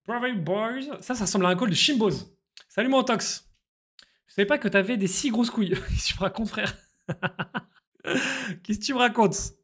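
A man, live-streaming slot games and chatting excitedly with viewers over a headset in a small room. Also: high-pitched. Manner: excitable, slangy, chats with viewers. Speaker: casino streamer.

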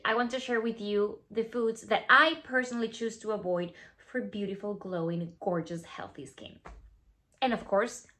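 A woman in her thirties from Venezuela with a Venezuelan Spanish accent, Spanish her first language, talking playfully to camera in a plain studio as a skincare founder. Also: medium pitch. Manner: playful, animated, drops to asides and whispers. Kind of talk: scripted talk to camera. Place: plain studio backdrop, indoors.

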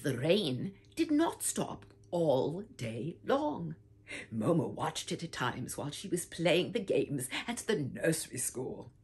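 An older woman reading a children's picture book aloud with theatrical whispers and swooping pitch, talking warmly to young listeners as "my dears". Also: very animated, slow pace, husky. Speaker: storybook reader. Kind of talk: expressive read-aloud for children. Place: home room with bookshelf, indoors.

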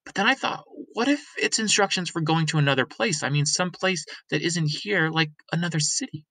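Storybook young girl played by a man: lifted pitch, earnest, breathlessly eager, shy streak. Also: brisk, sharp tone, dry recording. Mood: thoughtful.

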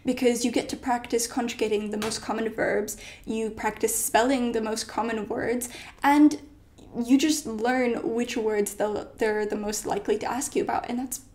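A woman's voice: a little high, fairly even intonation, moderate pace, darkish tone. A young woman in her late teens, a native Polish speaker, giving casual study advice in a chatty way full of "like" and "you know", filmed in her bedroom. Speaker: study vlogger. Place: bedroom at home.

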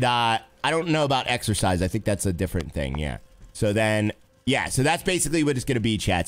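A man with a raspy throat, streaming a game casually and chatting with viewers as host. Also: medium pitch. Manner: animated, talks to chat and reads comments aloud.

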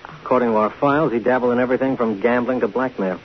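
An actor playing a gruff, sardonic police lieutenant in a 1950s radio detective drama. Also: male voice, mid-range voice, fairly even intonation, fast talker, natural voice. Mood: terse, sardonic. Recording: hissy, muffled old radio recording.

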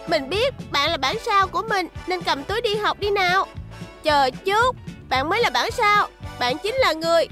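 A woman's voice: extremely high pitch, lively intonation, moderate pace, music in the background. A woman voicing a spirited, mischievous little robot girl in a cartoon dub, childlike and insistent. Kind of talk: cartoon dubbing.

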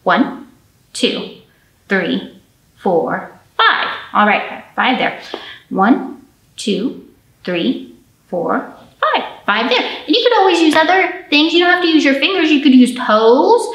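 High-voiced American woman hosting children's storytime in echoey room. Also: big pitch swings, takes it slowly, pauses often, a bit bright, highs cut off. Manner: encouraging, simple step-by-step explaining to little children. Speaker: children's librarian, storytime host. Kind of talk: storytime talk for young children. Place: indoor room with a painted mural. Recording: roomy echo, camera at a distance.

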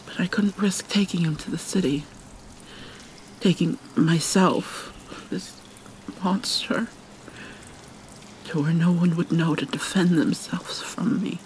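A haunted vampire mermaid played by a woman speaks slowly and formally, dropping into whispers. She is prickly and weighed down by guilt, yet caring. It is an ASMR roleplay with faint watery noise behind her. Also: lowish voice, fairly even intonation, darkish tone. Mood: anguished, haunted. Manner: halting, formal, slipping into whispers. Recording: noisy ambience with water sounds.

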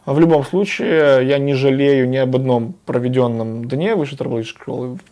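A man in a mellow, dark voice. He answers interview questions in a measured way, often hesitating with fillers. The voice sits at a middling pitch. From Moldova. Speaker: guest, former local official. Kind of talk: studio interview. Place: TV studio. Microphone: lapel.

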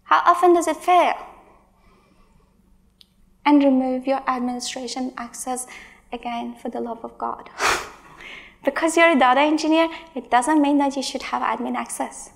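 Conference speaker, woman in her thirties, high voice with gravelly fry, emphatic and exasperated. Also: moderate pace, pauses often. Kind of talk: tech conference talk with Q&A. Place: large stage in a hall.

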